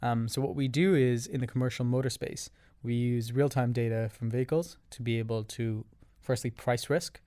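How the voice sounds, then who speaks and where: A man from Canada, a guest on a podcast, who gives measured answers laid out in ordered points. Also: medium pitch, steady tone, warm tone, no room sound. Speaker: guest, revenue operations leader. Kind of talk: interview answers.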